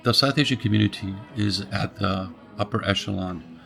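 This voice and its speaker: A man's voice: lowish voice, moderate pace, creaky, pushed and bright, soft background music. A middle-aged man from Poland, interviewing in a thoughtful, searching way and often restarting his phrases. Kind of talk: reflective conversation.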